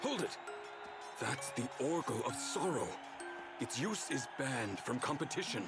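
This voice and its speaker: A man's voice: medium pitch, lively intonation, a little slow. A man playing a stern tournament official in a video game, making formal, commanding announcements. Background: loud game music.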